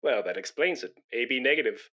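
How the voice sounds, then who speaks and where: A man's voice: mid-range voice, expressive, fairly quick, heavy background noise, natural voice. An actor playing a pompous, self-important man in a British radio comedy, blustering and indignant, with a matey know-all manner. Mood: smug, self-important.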